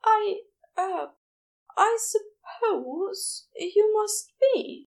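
Woman voicing a high, flustered, gentle man. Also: lively intonation, extremely slow, gravelly, full character voice. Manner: hesitant, polite, apologetic. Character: shy, flustered, gentle man, kindly hospital nurse. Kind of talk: audiobook character dialogue.

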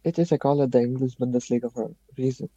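A man's voice: medium pitch, steady tone, very fast, warm tone, no room sound. A man whose first language is Marathi, a football podcast panelist with dry, insistent humor. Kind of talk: casual football chat. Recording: dull dry lo-fi sound.

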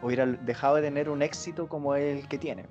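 Guy breaking down superhero stuff point by point, normal voice, soft music behind. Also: fairly high pitch, steady tone, a little fast, muffled recording. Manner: explanatory, lays out points in a lecturing way. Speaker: podcast co-host. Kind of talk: casual chat.

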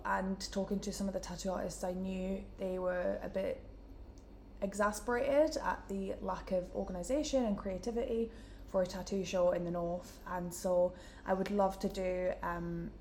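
A woman's voice: medium pitch, darkish tone. Geordie girl chatting about her plans, lots of likes and ums, bit of faint background noise. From Newcastle, England. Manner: chatty, many fillers like "like" and "um". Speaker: interview guest. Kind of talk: casual interview answers. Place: indoor room, in person.

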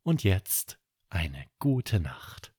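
A man narrating a scripted children's bedtime story as podcast host, with expressive, dramatic delivery. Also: sits low, drawn-out words, husky, no echo, clean studio sound.

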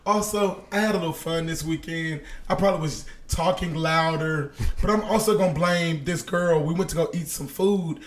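A hoarse young man hosting a casual podcast chat, telling stories with lots of laughter. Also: on the high side, normal speed, hardly any pauses. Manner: animated storytelling, laughing often. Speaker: podcast host.

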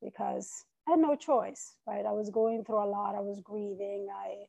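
A woman in her forties, raised in Iran and Italy, sharing her personal story as a podcast guest in an earnest, reflective, soft-spoken way. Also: medium pitch, fairly even intonation, moderate pace, creaky, highs cut off.

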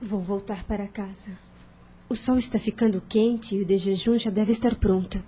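An actress playing a meek, anxious young farmer's daughter in a radio drama, speaking formally and earnestly. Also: female voice, mid-range voice, fairly even intonation, moderate pace, dark tone, slight background noise, lightly acted. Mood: calm.

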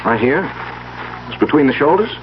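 An actor playing a practical, soothing and inquisitive young husband, talking colloquially and a bit hesitantly in 1970s radio drama style. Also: male voice, a little high, big pitch swings, moderate pace. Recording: dull, noisy 1970s radio recording.

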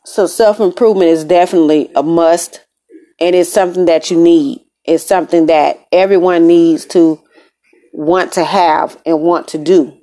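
A woman's voice: fairly low pitch, steady tone, normal speed, dark tone. An American woman hosting a podcast, speaking in a conversational, emphatic way in a casual motivational monologue, often repeating phrases for emphasis. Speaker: podcast host. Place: room in an apartment.

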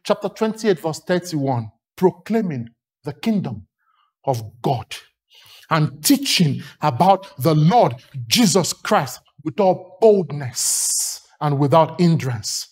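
A man's voice: on the high side, animated, slow pace, breathy edge. A man from Lagos with a Nigerian accent, preaching a sermon with emphatic, rhythmic repetition and long lists.

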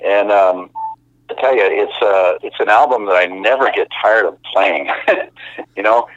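A man in his fifties talking casually as a guest, relaxed and halting, with many fillers and easy laughs. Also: lowish voice, no room sound. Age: 50s. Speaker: musician guest. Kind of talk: casual interview over the phone. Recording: muffled phone line.

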